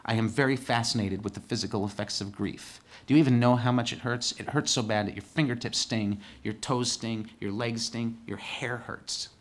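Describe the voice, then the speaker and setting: A man's voice: medium pitch, fairly even intonation, normal speed, few pauses. A man telling a personal story as a monologue, candid and conversational, building rhythm with short repeated phrases.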